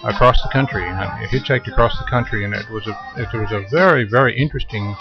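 Fifties guy from japan, slow thoughtful storyteller, dull lo-fi radio sound with music behind. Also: lowish voice. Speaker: guest. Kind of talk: casual interview.

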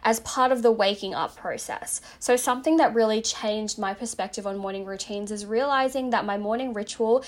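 A woman's voice: medium pitch, normal speed, hardly any pauses. A woman hosting a casual self-help podcast, chatty and rambling with lots of fillers, saying she is slightly losing her voice. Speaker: podcast host.

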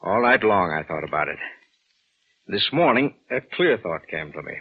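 An actor playing a grave, measured middle-aged town supervisor in a 1940s radio drama. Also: male voice, low-pitched, very animated, breathy edge, phone-quality sound, full character voice. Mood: resolute, grim. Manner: measured, solemn. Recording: band-limited, hissy old radio recording.

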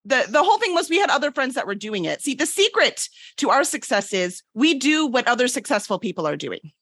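High-voiced woman explaining emphatically. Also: very animated, brisk, fairly bright tone, husky, dry recording. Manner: emphatic, peppers points with "right?". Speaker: podcast guest, book publisher. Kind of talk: casual explanatory talk.

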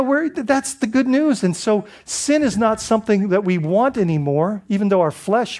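High-voiced preaching man from the Bahamas. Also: a little mellow. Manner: conversational preaching with frequent restarts and rhetorical "right?". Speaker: pastor. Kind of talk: sermon.

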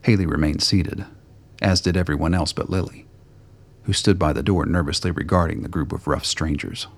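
A man reading scripted narration for a radio play in a deep, gravelly voice. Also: fairly even intonation, moderate pace.

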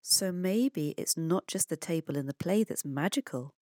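A woman voicing a calm, understanding father in a children's story, gently reassuring. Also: on the low side, normal speed, no room sound, clean studio sound, close to an everyday voice. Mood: calm, sympathetic.

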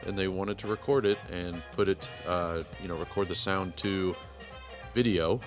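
A man in his forties hosting a podcast, giving a rambling, self-deprecating intro with emphatic, clearly enunciated speech. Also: low voice. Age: 40s. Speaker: podcast host. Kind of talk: casual podcast intro monologue.

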